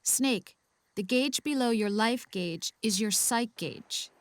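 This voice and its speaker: A woman playing a caring but firm counselor in a video game, explaining things with gentle insistence. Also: fairly high pitch, takes it slowly, no room sound. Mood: instructive.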